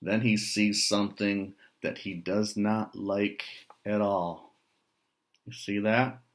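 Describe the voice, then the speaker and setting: An American man reading a picture book aloud to children, deliberate, with chatty asides and rhetorical questions. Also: on the low side, fairly even intonation.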